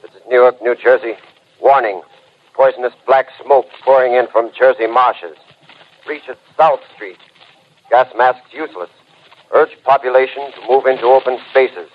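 A man's voice: medium pitch, normal speed, natural voice. Terse, muffled emergency announcer played by a man. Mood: urgent warning. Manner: terse, telegraphic. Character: urgent, official male warning announcer. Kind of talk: 1930s radio drama acting as emergency bulletin. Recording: muffled 1930s radio broadcast.

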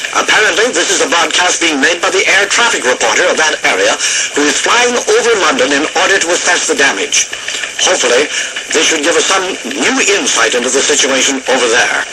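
A man acting as radio news anchor, solemn, formal, higher voice, measured phrasing, clear background noise. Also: expressive, natural voice. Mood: grave, composed. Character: grave male American network radio news anchor during nuclear war. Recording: noisy radio broadcast sound.

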